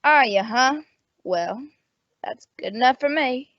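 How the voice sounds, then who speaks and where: A woman playing a gruff, suspicious, money-minded rooming house landlady in an old-time radio detective drama, blunt, slangy and wisecracking. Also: high voice, animated, takes it slowly, no echo, lo-fi sound. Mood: guardedly cooperative.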